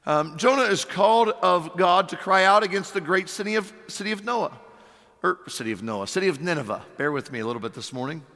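A middle-aged man preaches in a church sanctuary, his voice worn hoarse and strained. He speaks high and bright, leaning on earnest rhetorical questions. The hall behind him rings with a long echo. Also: expressive, a little fast. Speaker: pastor. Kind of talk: sermon.

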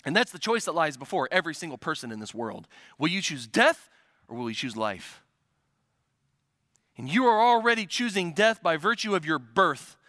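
A man's voice: a little high, sing-song, moderate pace, sharp tone, dry recording, clean studio sound. A man from the Midwest preaching a sermon, building to urgent, emphatic repetition. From American Midwest. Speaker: pastor.

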